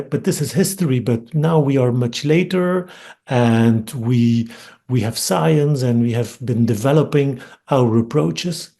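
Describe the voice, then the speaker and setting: Gravelly Belgian man lecturing hesitantly. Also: medium pitch, mellow. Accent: Dutch-speaking Belgian. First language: Dutch. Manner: thoughtful, hesitant with frequent ums and repeated words. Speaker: academic speaker. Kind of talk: webinar lecture. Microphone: computer mic on video call.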